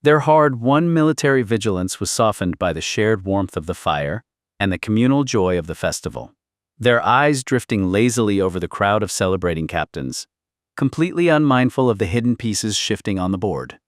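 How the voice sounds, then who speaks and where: A man reading dramatic historical fiction as an audiobook narrator, in a solemn, measured and portentous delivery. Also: fairly low pitch, animated, dry recording, studio quality.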